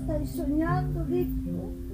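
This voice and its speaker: A woman's voice: very high-pitched, steady tone, pitched above the performer's own voice, low-quality recording. A woman voicing a remorseful, anguished male monster grieving his creator in a dramatic theatrical reading. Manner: anguished, slow emphatic repetitions. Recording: loud live plucked guitar accompaniment. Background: live guitar music.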